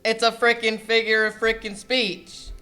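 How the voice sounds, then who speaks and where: A middle-aged mobster who runs a pizza parlor, acted by a young man, with a bright, forceful voice. He is whiny, self-pitying and melodramatic, blustering in comic radio-play style. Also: high voice, monotone, measured pace, soft background music. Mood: exasperated. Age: young adult. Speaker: actor in a student audio play.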